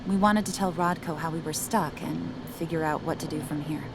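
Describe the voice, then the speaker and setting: An actress playing an earnest, determined rebel infiltrator, speaking seriously and purposefully in a video game cutscene. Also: female voice, deep voice, steady tone, fairly quick, noisy background.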